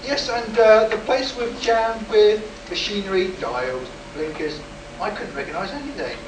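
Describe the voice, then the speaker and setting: An actor playing a shaken, insistent test pilot in a live sci-fi radio play, with dramatic, urgent delivery. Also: male voice, sits high, normal speed, close to an everyday voice. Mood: defensive. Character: agitated, insistent rocket test pilot shaken by an alien encounter. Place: live performance in a room at a convention. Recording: roomy, distant, noisy live convention stage recording.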